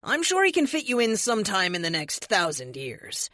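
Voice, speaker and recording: A calm, unyielding ancient warrior hero, as a woman reads him aloud. He speaks firmly with a light, amused edge, and his pitch rises and falls in lively swings. The recording is close and dry. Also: moderate pace, no echo. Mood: amused defiance.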